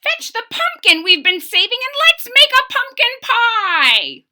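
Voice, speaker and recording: A woman voicing a cranky, impatient, centuries-old man in a storybook, croaking and hollering with loud, drawn-out exclamations. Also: extremely high pitch, sing-song, voice pushed up, heavily acted. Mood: eager. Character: cranky, impatient eight-hundred-year-old man craving pumpkin pie.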